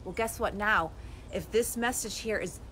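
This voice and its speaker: Woman in her 40s talking to her phone outside, earnest and animated, faint outdoor hiss. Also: mid-range voice, moderate pace. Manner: earnest, animated, gestures with both hands. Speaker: life coach vlogger. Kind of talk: motivational talk to camera. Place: outdoors on a lawn by bare woods. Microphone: phone camera at arm's length.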